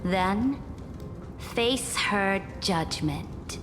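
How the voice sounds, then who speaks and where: A woman's voice: mid-range voice, expressive, extremely slow. A woman playing a proud, ruthless conqueror emperor in a video game, speaking in grand, commanding, theatrical declarations. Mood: cold, commanding. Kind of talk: dramatic video game voice acting. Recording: long reverberant hall. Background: clear music.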